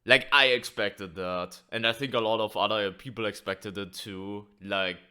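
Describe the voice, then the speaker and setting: Sick young man, bright crisp voice. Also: on the low side, fairly even intonation, moderate pace. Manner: casual, filler-heavy, swears. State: sick, losing his voice. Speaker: gaming channel host. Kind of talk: casual video commentary.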